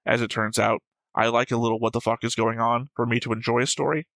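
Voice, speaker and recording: A man's voice: medium pitch, level tone, fast talker, a bit bright, no room sound. A man co-hosting a reaction show, discussing and reading comments in a casual, analytical way with some argument and casual swearing. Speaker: co-host of anime reaction show.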